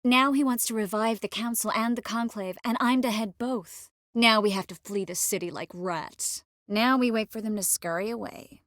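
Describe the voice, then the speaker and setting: A woman playing a poised, wry, warm-hearted sorceress in a video game, composed and lightly teasing. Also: a little high, normal speed, hardly any pauses, dry recording, studio quality, lightly acted. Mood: weary, frustrated. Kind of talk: scripted game character dialogue.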